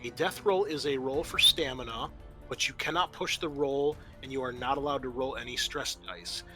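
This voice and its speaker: A man acting as game master, narrating and refereeing a horror roleplaying game in a halting way with stretched, dramatic emphasis. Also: mid-range voice, bright, forceful tone. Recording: background music under the voice.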